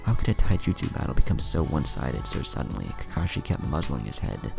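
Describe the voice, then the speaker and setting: Man, very deep, heavy vocal fry, animated story narration, music underneath. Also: fast talker. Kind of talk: scripted fan fiction narration with acted dialogue.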